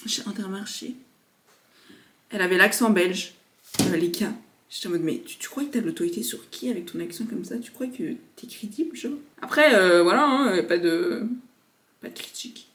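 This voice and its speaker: A woman in her twenties chatting casually to her viewers in a slangy vlog style. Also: mid-range voice. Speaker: vlogger, university student. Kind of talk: casual vlog chat to camera.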